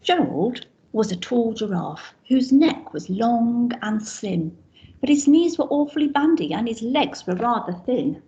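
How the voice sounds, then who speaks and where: Casting: woman teacher reading a storybook, very lively melody, fairly high voice, muffled lo-fi recording. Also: unhurried. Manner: expressive rhyming storytelling, pointing out pictures. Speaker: infant school teacher. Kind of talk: reading a picture book aloud to children.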